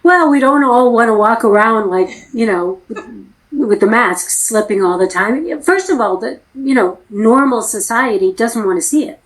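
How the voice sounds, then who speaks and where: A woman's voice: a little high, animated, moderate pace, poor audio. Frank American woman coaching actors. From United States. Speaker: acting coach and host. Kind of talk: casual conversational interview.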